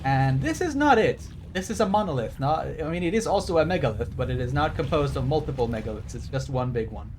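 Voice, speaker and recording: A man's voice: medium pitch, expressive, fast, hardly any pauses, noisy background. A man giving casual livestream game commentary, digressive and wry as he explains trivia. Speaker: game streamer.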